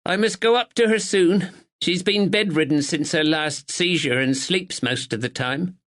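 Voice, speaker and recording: A man's voice: on the high side, moderate pace, fairly bright tone, pitched above the performer's own voice, natural voice. A man voicing an anxious middle-aged village woman with a dialect who cares for her mother. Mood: nervous, polite. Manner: timid, dialect "were".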